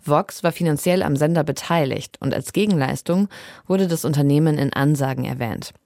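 A woman's voice: low-pitched, normal speed, creaky, darkish tone, dry recording, clean studio sound. A woman reading a scripted radio feature as a reporter.

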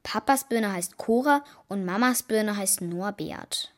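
A woman's voice: mid-range voice, slow pace, lightly acted. A female performer playing an earnest, insistent young girl in a German children's radio play. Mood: stubborn, hurt.

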